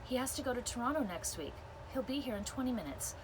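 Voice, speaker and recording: Storybook daycare boss, played by a woman reader: high-pitched, fussy, gushing, exclaiming; noisy recording. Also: sing-song, quick pace. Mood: frantic. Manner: breathless, exclaiming, fussy, bossy-sweet. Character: flustered, gushing woman running a dog daycare. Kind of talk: children's book read-aloud.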